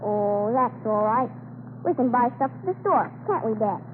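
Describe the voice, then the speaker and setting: Woman acting a high-voiced earnest farm boy. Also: lively intonation, normal speed, close to an everyday voice. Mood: curious. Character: young farm boy, curious, earnest, brave. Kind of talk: 1940s radio drama acting. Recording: scratchy tinny old radio recording.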